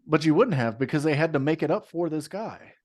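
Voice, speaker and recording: A man's voice: a little high, animated, a little fast, a little mellow. A man co-hosting a podcast in casual chat, ranting with profanity and disbelief. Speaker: podcast co-host.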